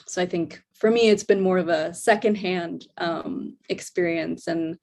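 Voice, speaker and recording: A woman from the United States speaking as a panelist, tentative with frequent pauses. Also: fairly low pitch, steady tone, a little mellow. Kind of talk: casual panel discussion. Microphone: video call.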